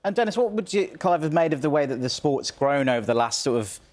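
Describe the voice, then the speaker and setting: A man's voice: on the high side, lively intonation, quick pace, darkish tone. A man co-presenting a live broadcast, asking wordy, conversational questions.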